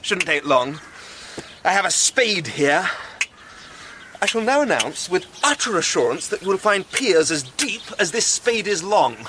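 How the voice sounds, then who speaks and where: A fairly high male voice with an English accent, playing a jovial, teasing amateur archaeologist in a radio drama. He sounds chummy, old-fashioned and keen, over clearly audible noise. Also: big pitch swings, a little slow. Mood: enthusiastic. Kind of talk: naturalistic radio drama acting.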